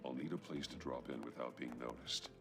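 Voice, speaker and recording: An actor playing a grim, brooding masked vigilante in a video game, terse, stoic and commanding. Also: male voice, rumbling low, a little fast, music in the background. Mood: grim, watchful. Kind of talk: video game hero acting.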